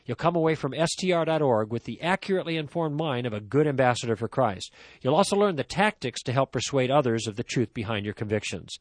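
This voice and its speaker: A man's voice: mid-range voice, animated, fast talker, no echo. A male radio host reading a scripted ad in an earnest, persuasive tone.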